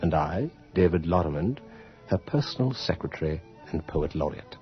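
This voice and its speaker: A man's voice: deep voice, animated, moderate pace. A man acting a fawning, fussy poet secretary in a period radio play, deferential and theatrical. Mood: awed, musing. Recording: muffled 1980 radio drama, faint music.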